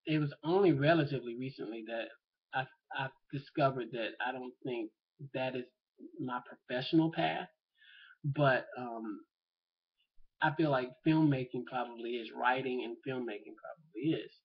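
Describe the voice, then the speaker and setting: A man's voice: mid-range voice, normal speed, husky, highs cut off. A man talking casually to camera in an opinion vlog, rambling and thinking aloud with lots of fillers. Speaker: vlogger.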